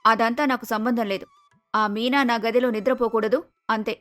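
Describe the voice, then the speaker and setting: A woman's voice: fairly high pitch, flat delivery, brisk, a bit bright, soft background music, pitched below the performer's own voice, natural voice. A woman voicing an arrogant, spoiled young adult daughter in a cartoon moral story, with a haughty, bossy manner. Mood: haughty, harsh.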